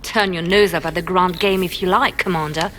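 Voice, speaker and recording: A woman's voice: on the low side, fairly even intonation, brisk, fairly bright tone, slight background noise, lightly acted. An actress playing a cool, cutting female court intriguer in video game dialogue. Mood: cool warning.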